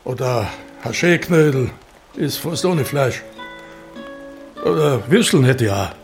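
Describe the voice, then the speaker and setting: A man playing a gruff, sceptical country innkeeper in an audio drama, plain-spoken with an Austrian accent. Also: medium pitch, lively intonation, slow, faint music behind. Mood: puzzled, gruff. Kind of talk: audio drama dialogue in dialect.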